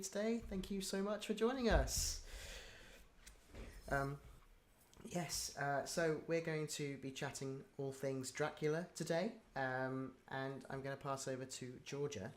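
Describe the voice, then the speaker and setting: A podcast host speaking in a polite, informal way while running a casual interview. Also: medium pitch. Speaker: host, co-creator.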